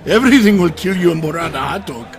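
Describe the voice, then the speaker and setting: A man's voice: fairly high pitch, animated, fast, close to an everyday voice. An actor playing a fierce, boastful shaman warrior who speaks in terse, broken, emphatic phrases in a comic fantasy audio drama. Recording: music playing behind the voice.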